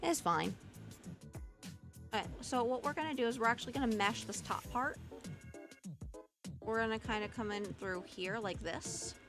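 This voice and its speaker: A woman's voice: fairly high pitch, expressive, normal speed, long pauses, music in the background. Chatty woman with a Southern-Midwestern accent. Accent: mixed Midwestern and Southern US. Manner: chatty, stumbling restarts, laughs often. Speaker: Live2D rigging streamer. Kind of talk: casual livestream tutorial.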